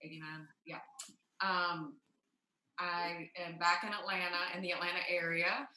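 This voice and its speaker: A chatty woman in her fifties introduces herself casually. Her voice is lowish but very sharp and bright, and it rings in an echoey room. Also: flat delivery, measured pace. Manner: chatty, laughs easily. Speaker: former player, restaurant owner.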